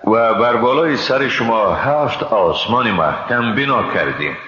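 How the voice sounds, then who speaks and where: A man's voice: medium pitch, animated, pushed and bright. A man with an Afghan Dari accent, solemnly reading a translation of scripture. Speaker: translation reader. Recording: echoey, long reverb, dull and noisy.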